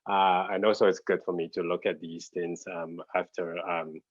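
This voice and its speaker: Taiwanese guy doing a casual online talk, keeps hunting for words, low voice, kinda muffled video call sound. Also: little pitch movement, dry recording. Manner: halting, hesitant, searching for words. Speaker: graphic designer and studio head giving a guest talk. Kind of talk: informal online lecture. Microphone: computer video call. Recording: dull, lo-fi video call audio.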